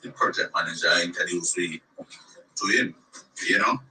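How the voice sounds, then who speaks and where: A Jamaican man telling a story in a relaxed way on a voice note. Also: low voice, moderate pace, recorded from a distance, rough recording. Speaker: caller.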